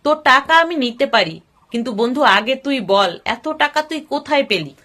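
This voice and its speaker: A woman's voice: high-pitched, lively intonation, moderate pace, pushed and bright. A woman storyteller voicing a greedy, eager male merchant in a coaxing manner. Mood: curious, probing.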